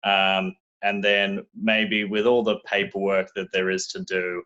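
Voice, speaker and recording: Low-voiced Australian man on a lo-fi line. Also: little pitch movement, a little slow, sharp tone. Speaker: guest. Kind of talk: casual interview talk.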